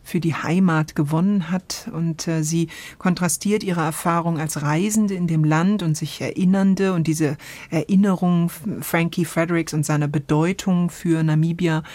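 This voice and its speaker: Low-voiced, thoughtful middle-aged woman. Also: steady tone, normal speed, hardly any pauses, a little mellow, no echo. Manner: searching for words, thoughtful. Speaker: podcast host discussing radio plays. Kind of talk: reflective conversational discussion.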